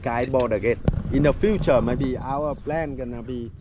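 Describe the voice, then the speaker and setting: A man's voice: medium pitch, a little slow, noisy background. A man with a Vietnamese accent, a local tour guide casually explaining things to travelers in non-native speech.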